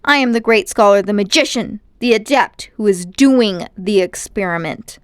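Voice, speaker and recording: A haughty older gentleman magician, acted out by a woman reader, vain and cruel, speaks in grandiose, indignant phrases. The storybook character sounds close and dry. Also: medium pitch, moderate pace, clear, resonant tone, no room sound, lightly acted. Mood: indignant, boastful.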